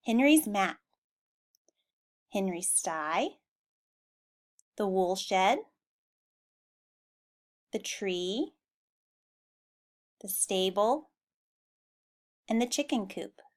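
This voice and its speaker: A woman reading a children's storybook aloud in an expressive style. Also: mid-range voice, drawn-out words, long pauses. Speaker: storybook reader.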